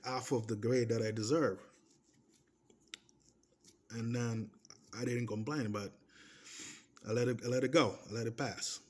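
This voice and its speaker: A man's voice: lowish voice, darkish tone. A man in his thirties whose first language is Portuguese, speaking English with a Portuguese accent in a casual personal monologue. He talks emphatically, restarting phrases and pressing his points with repeated checks like "okay?". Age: 30s. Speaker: vlogger.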